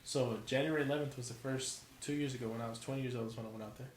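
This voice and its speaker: A man's voice: medium pitch, fairly even intonation, very fast. A man in his twenties from California, a college football player talking as a podcast guest in casual, profane, slangy chat. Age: 20s. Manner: profane, laid-back slang. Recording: echoey room with faint noise.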